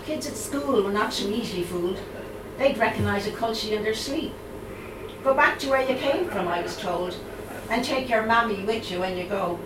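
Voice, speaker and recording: A middle-aged Irish woman with a Dublin accent, giving a live poetry reading, reciting in a measured way and chatting hesitantly with fillers between poems. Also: medium pitch, noisy background. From Ireland. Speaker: poet. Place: live reading event in a room. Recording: roomy, distant mic, audible room ambience.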